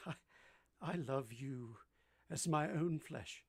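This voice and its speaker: A man playing a brooding, commanding gentleman who is both teasing and ardent, in a theatrical vintage-style radio drama. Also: on the high side, very slow, creaky, husky, exaggerated voice.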